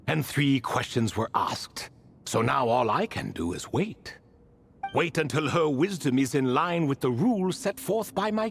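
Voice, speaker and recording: A man's voice: mid-range voice, a bit bright, slight background noise, heavily acted. A man voicing an ancient, whimsical and prideful sealed ibis spirit king in a video game, telling tales in grand archaic phrasing. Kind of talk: scripted game dialogue.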